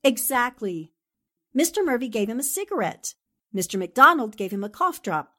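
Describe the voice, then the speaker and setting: A woman playing a brisk, determined newspaperwoman sleuth in a 1940s-style radio drama, with a commanding manner. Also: fairly high pitch, sing-song, a bit bright. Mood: thoughtful. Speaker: actor in an amateur radio drama re-creation.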